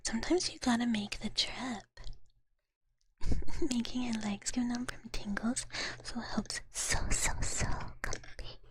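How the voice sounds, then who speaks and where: A woman whispering softly and breathily close to the mic in a gentle, cutesy way, chatting casually as an ASMR host. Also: on the high side, fairly even intonation, unhurried, poor audio. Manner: gentle, cutesy, speaks of herself in third person. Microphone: close ASMR microphone. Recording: clear noise, clicking trigger sounds.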